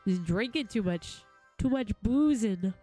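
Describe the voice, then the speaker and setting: A woman's voice: mid-range voice, expressive, measured pace, mellow, soft background music. A woman livestreaming a game, reacting casually in a playful, goofy, exclamatory way.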